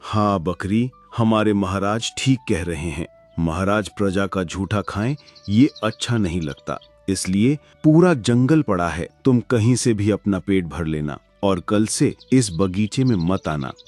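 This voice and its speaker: A man's voice: fairly low pitch, lively intonation, a little slow, darkish tone, soft background music. A man voicing a loyal elephant chief minister who serves the king, in a Hindi cartoon. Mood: dutiful. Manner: dutiful, deferential to the king.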